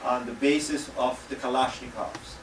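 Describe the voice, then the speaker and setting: A middle-aged man from Pakistan, speaking as a panelist at an academic event in a measured way, with frequent pauses and dry asides. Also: medium pitch, fairly even intonation, recorded from a distance. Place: lecture hall. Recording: echoey hall, speaker off-mic, faint room noise.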